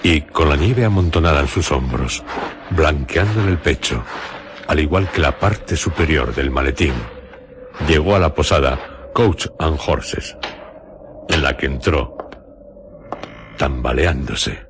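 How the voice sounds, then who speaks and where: A man narrating a radio drama as a solemn storyteller, in deliberate, carefully separated literary phrases. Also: very deep voice, noisy background. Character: solemn, measured male storyteller.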